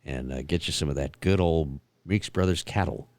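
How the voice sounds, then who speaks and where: A man's voice: rumbling low, moderate pace, a little mellow, no echo. A man hosting a country radio show, teasing and joking in casual chat.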